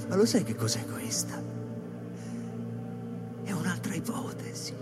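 An actor playing an anguished, feverish space-station scientist in a radio drama, stammering repetitions and swelling into theatrical declamation. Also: male voice, on the high side, big pitch swings, takes it slowly. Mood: brooding. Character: Kris, anguished, feverish male space-station scientist musing on an imperfect God. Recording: echoey hall reverb under clear music. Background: live electronic and flute music.

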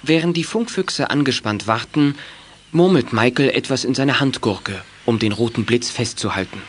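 A man's voice: medium pitch, moderate pace, a little hiss or hum. A man reading scripted narration for a German children's radio play.

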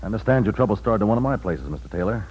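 A self-assured nightclub boss in an old radio drama. He talks fast in a deep, husky voice, affable yet probing. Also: animated, darkish tone, low-quality recording. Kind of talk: 1940s radio drama acting.

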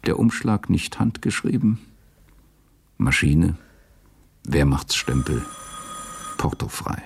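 Extremely deep, gravelly male narrator. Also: big pitch swings, slow, a little hiss or hum. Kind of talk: scripted radio drama narration.

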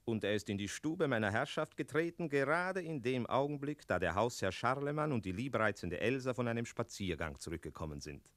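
A man playing a talking cat who narrates a fairy tale in a theatrical radio play, dramatic and full of pointed pauses. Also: mid-range voice, lively intonation, fairly bright tone, dry recording, natural voice. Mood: wry, ominous.

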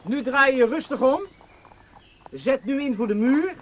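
An actor playing a strict, confident riding instructor in a radio drama, commanding and insistent. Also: male voice, very high-pitched, animated, a little slow, a bit bright, slight background noise, heavily acted. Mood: loud, urging. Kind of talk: Dutch radio drama acting.

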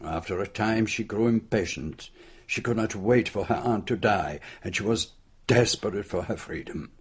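A self-assured foreign detective in a radio drama. His French-accented voice is low and rather husky. He sets out his reasoning formally and deliberately, with careful courtesy. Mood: grave explaining.

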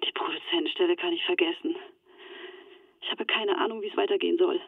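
A woman's voice: lowish voice, quick pace, creaky, slightly rough, dry recording. An actress playing an anxious, resigned young woman in a German radio drama, speaking earnestly through a telephone filter. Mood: troubled, resigned. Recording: thin, band-limited telephone line.

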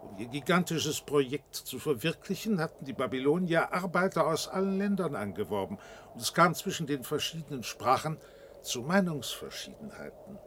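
An actor playing an earnest, old-fashioned reservation dweller in a science-fiction radio drama, speaking formally and deliberately, like a guide explaining things. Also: male voice, on the high side, sing-song, fairly bright tone, slight background noise. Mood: earnest, explanatory.

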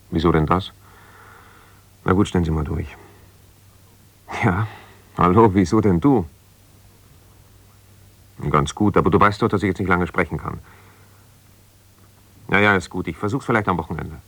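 A dry, close studio sound carries a bitter, self-righteous husband with a fairly low voice. He is a lawyer who argues down the phone, defensive, insistent and full of reproach. The delivery is naturalistic radio drama. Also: unhurried, gravelly, long pauses, fairly bright tone, no room sound, exaggerated voice. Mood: curt, reluctant. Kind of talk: naturalistic German radio play, one side of a phone call.

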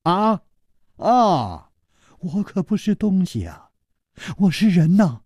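A man's voice: medium pitch, sing-song, warm tone, breathy edge, no room sound. A man voicing a grinning, carefree rogue in a martial-arts storybook, teasing and jokey. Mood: playful. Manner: teasing, jokey, drawn-out particles. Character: grinning, playful, carefree male rogue adventurer.

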